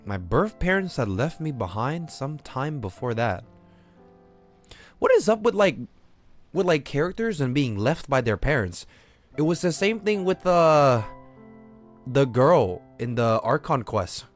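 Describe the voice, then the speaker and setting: Man, game streamer, casual slangy commentary, very animated intonation, faint music behind. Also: mid-range voice, unhurried. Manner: slangy, incredulous, swearing.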